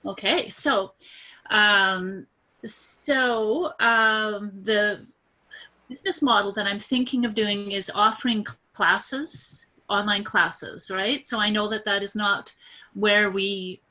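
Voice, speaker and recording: A nervous woman explaining her business idea on a video call, halting and full of fillers and restarts. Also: medium pitch, fairly even intonation, sharp tone, low-quality recording. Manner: hesitant, many fillers and restarts. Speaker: coaching client.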